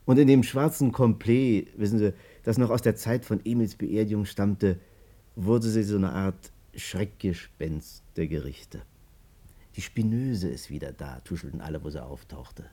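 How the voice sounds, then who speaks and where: A man's voice: fairly low pitch, normal speed, dark tone, husky. A man acting a wry narrator in a 1950s radio drama, confiding a long saga to his listeners in theatrical fashion.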